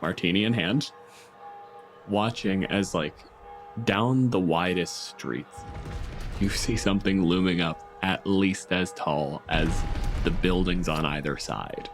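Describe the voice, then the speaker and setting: A man's voice: low-pitched, slow. A man narrating a tabletop roleplaying game as game master, moving between dramatic scene-setting and casual banter. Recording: music bed under the voice. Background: clear background music.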